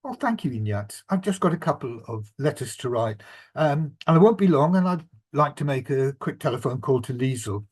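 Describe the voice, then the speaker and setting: A man reading aloud the polite, unhurried reply of a young man in his late twenties. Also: mid-range voice, lively intonation, a little mellow, breathy edge. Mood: calm. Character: calm young male lawyer delaying departure.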